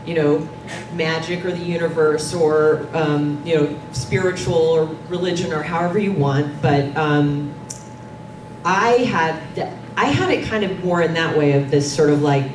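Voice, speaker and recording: Female panel presenter, middle-aged, conversational and halting, roomy sound with clear background noise. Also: deep voice. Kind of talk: informal conference presentation. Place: dim conference meeting room. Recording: distant, echoey, noisy room recording.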